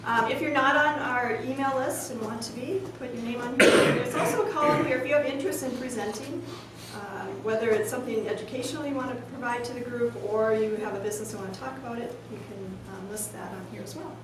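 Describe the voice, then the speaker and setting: A woman with a fairly high voice gives informal announcements as an organizer. She sounds distant in a very echoey room, with noticeable background noise. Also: quick pace, few pauses.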